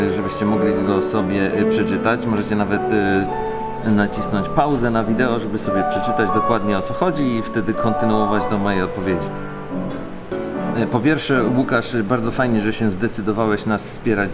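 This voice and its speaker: A man talking casually to viewers in a vlog, in a reflective, measured and somewhat hesitant way. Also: fairly low pitch. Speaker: vlogger. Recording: loud music behind the voice. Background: guitar music.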